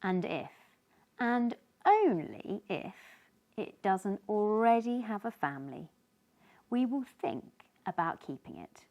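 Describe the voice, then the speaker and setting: A woman reading a storybook as a sensible mother who explains things in a measured, gently firm way. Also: mid-range voice, very animated, dull audio.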